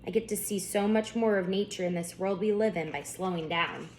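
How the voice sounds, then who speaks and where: A woman voicing a lively, wise male pet turtle in a storybook, gently encouraging and full of wonder. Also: mid-range voice, brisk, background noise, lightly acted. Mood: wise, calm.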